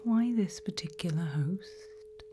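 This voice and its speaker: A woman whispering a medical exam roleplay in ASMR style, breathy and hushed, slow, deliberate and gently coaxing. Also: mid-range voice, animated, background noise. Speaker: ASMR performer playing an examiner.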